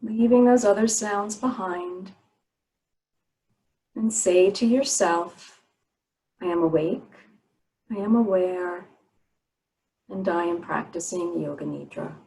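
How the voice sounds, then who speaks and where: A woman teaching guided yoga nidra meditation, giving soothing, unhurried instructions with pauses between phrases. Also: on the low side, gravelly, mellow, low-quality recording.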